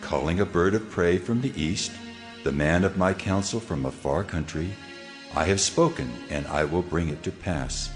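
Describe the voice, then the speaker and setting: A male actor plays God in a dramatized scripture reading. The voice is very deep, measured and full of solemn authority. Faint music runs underneath. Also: close to an everyday voice. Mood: solemn, commanding. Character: God, solemn, commanding male deity speaking to his people.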